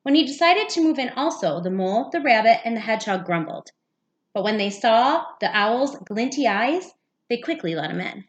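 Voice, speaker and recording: A woman's voice: fairly high pitch, sing-song, moderate pace, dull audio. A woman, a teacher, reading a picture book aloud for young children. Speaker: second-grade teacher.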